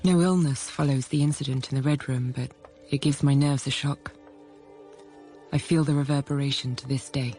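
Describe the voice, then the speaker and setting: Sombre first-person narrator, grave and measured, literary radio drama over clear music. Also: female voice, extremely low pitch, steady tone, dark tone. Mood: sombre, reflective. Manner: measured, sombre, short phrases. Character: grave, introspective woman narrating her bleak childhood.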